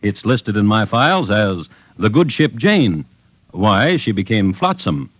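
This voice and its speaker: An actor playing a measured, wry crime storyteller, narrating deliberately and dramatically as host of a 1950s radio drama. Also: male voice, on the low side, very animated, dry recording. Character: measured, wry male crime storyteller hosting from his files. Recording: dull vintage radio recording, music behind.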